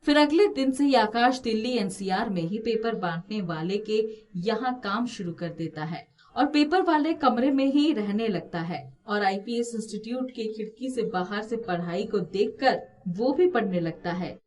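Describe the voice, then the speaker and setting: A woman's voice: medium pitch, expressive, measured pace, hardly any pauses, a little mellow, distant mic. A woman reading scripted narration for a moral story as the storyteller.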